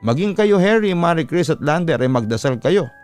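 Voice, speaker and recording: Man voicing a grave, urgent priest, clear voice. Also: fairly high pitch, lively intonation, moderate pace, a little mellow, faint music behind, voice pushed up, natural voice. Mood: solemn, urging. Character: grave devout male priest urging prayer against spirits.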